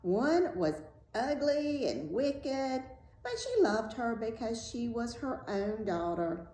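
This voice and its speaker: A woman reading a fairy tale aloud as host, in a deliberate, dramatic storytelling style. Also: on the high side, lively intonation, dark tone. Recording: roomy echo, faint background noise.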